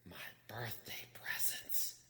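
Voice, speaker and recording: A man voicing a wretched, wicked, obsessive cave creature who hisses and whispers to himself in a strained voice, as in a storybook reading. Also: bright, forceful tone. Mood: covetous whispering. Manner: talks to himself, drawn-out muttering. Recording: echoey room with long reverb.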